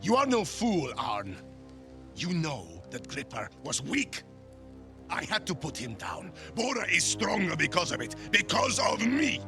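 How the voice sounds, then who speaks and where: Ruthless game Viking usurper, scornful, slow and taunting, with very animated intonation. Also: male voice, fairly high pitch, music in the background, full character voice. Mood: cold, proud. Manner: taunting, deliberate. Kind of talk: cinematic video game acting.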